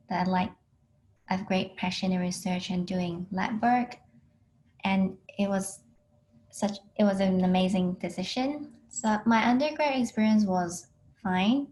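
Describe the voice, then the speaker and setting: A woman in her twenties from Hong Kong, a PhD student giving a plain, unhurried talk over a video call with frequent ums. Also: medium pitch, darkish tone, low-quality recording. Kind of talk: online alumni talk.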